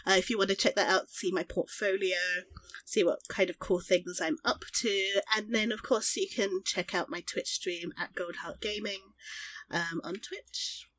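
A woman's voice: mid-range voice, steady tone, moderate pace, a bit bright, no room sound, rough recording. A woman in her thirties chatting as an interview guest. She is self-deprecating and rambles, with many small restarts. The voice is close and dry, with no room sound. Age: 30s. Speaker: interview guest, artist and streamer. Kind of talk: casual interview chat.

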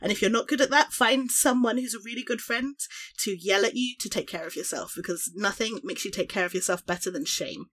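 A woman's voice: medium pitch, fairly quick, fairly bright tone. A woman in her thirties, a guest on an interview, who talks about herself in a chatty, self-deprecating way. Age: 30s. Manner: self-deprecating, rambling with restarts. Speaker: interview guest, artist and streamer. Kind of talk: casual interview chat.

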